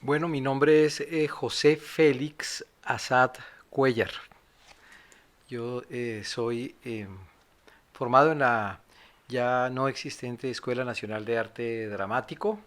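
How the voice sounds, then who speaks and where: A man with a Colombian accent talks reflectively about his work in theatre. His voice sits at a middle pitch. He goes carefully, halting often to choose his words. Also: steady tone, fairly bright tone. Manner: halting, deliberate, with many pauses. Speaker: theatre director and playwright, guest panelist. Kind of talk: reflective spoken introduction.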